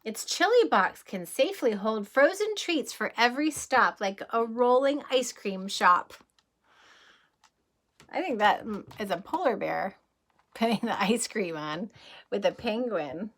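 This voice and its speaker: A woman in her thirties, a kindergarten teacher, reads a rhyming picture book aloud to young children. Her voice is bright and clear. She takes the verses slowly and in a sing-song way, then stops to ask the little ones gentle questions about the pictures. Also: a little high, animated.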